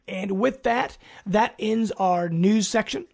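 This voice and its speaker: A male podcast host with a notably high voice and plenty of vocal fry. He presents gaming news casually and conversationally, as informal talk between co-hosts. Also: slow pace, a little mellow.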